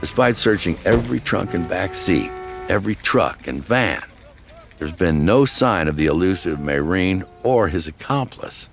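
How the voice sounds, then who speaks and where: A man with an extremely low voice narrates scripted true crime. His delivery is dramatic and suspenseful, swinging expressively up and down with a gravelly creak. He sounds like the storyteller of a crime documentary. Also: a little hiss or hum. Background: background music.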